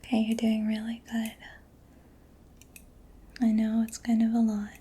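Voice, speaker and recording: A woman doing a soft-spoken ASMR mechanic roleplay, breathy and mostly whispered, gently murmuring as if giving personal attention, close to the microphone. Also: fairly high pitch, completely flat delivery, unhurried. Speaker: ASMR performer. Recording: close-miked with clear background noise.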